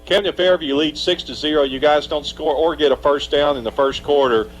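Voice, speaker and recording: A man announcing a high school football game on live radio, chatting through scores and interviewing the coach. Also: a little fast, slight background noise, low-quality recording. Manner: upbeat, conversational, asks long setup questions. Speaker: play-by-play announcer. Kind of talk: live sports radio commentary.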